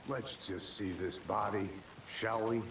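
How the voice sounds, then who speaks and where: Seasoned fbi guy in a game, really deep voice, terse deadpan, bit of noise behind. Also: slow. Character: seasoned, laconic, deadpan male FBI agent. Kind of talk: video game dialogue.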